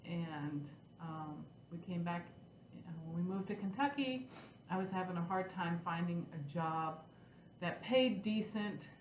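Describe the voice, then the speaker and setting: A middle-aged woman with a stuffy head cold, chatting casually to her camera as a vlog host and then ranting in frustration. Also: on the low side. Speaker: flosstube host. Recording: echoey room with faint noise.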